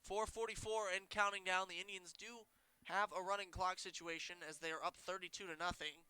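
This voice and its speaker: A young man calling high school football play-by-play, a little congested and nasal, getting quick and excited on big plays. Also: smooth, no echo. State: congested, says he sounds nasal. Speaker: play-by-play announcer.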